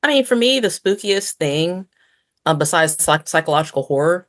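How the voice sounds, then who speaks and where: Casual woman co-host, low voice. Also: animated, moderate pace. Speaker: podcast co-host. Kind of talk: casual chat.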